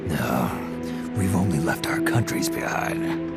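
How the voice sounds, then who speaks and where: An actor playing a gruff, wary, terse mercenary commander in a video game. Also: male voice, extremely low pitch, expressive, slow pace, over loud music, heavily acted. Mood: stern, wary. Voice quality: gruff, gravelly. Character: gruff, weary, skeptical male mercenary commander. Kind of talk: dramatic video game cutscene acting.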